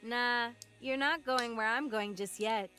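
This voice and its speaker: A woman's voice: on the high side, unhurried, bright, forceful tone. A woman playing a brash, easygoing young woman fighter in a video game cutscene, with casual, wry, slangy delivery. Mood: teasing. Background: music.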